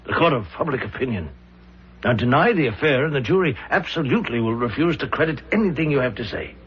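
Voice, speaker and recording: An actor playing a pragmatic, skeptical defense lawyer in a radio drama, measured and persuasive with deliberate pauses. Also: male voice, mid-range voice, animated, natural voice. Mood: pressing, persuasive. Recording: muffled, noisy old radio recording.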